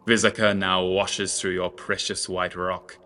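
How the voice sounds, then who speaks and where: A man's voice: low voice, little pitch movement, measured pace, pushed and bright. A man voicing a ruthless, pontificating sorcerer lord in a fantasy audio drama, speaking in a cold, grandiose, oratorical way. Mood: cold menace.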